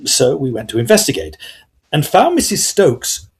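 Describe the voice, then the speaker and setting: A man playing an earnest gentleman ghost hunter who narrates a period Gothic audio drama in formal, old-fashioned phrases. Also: medium pitch, wildly swooping pitch, moderate pace. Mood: wry, curious.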